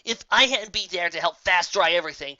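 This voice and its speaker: A woman's voice: on the low side, brisk, bright, forceful tone, dull audio, voice pushed up. A woman voicing a temperamental pegasus mare in a storybook reading, exasperated and emphatic. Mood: indignant. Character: temperamental, fed-up pegasus mare, earnest and outraged.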